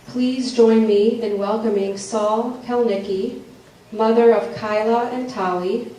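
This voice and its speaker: A woman's voice: medium pitch, warm tone. Woman hosting in a very echoey hall. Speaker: event host, camp director. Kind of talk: prepared welcome speech at a ceremony. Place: large echoey hall with an audience. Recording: very echoey hall, distant mic, audience, faint noise.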